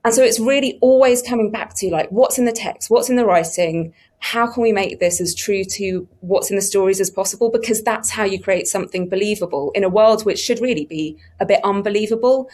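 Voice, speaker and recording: A woman's voice: medium pitch, fairly quick, few pauses, darkish tone. A woman explaining production work as a producer in an interview. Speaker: audio producer on a panel.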